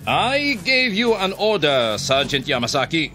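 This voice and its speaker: An actor in a radio drama playing a merciless, contemptuous army captain who barks clipped, imperious orders. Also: male voice, on the high side, very animated, measured pace, music in the background. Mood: stern, threatening.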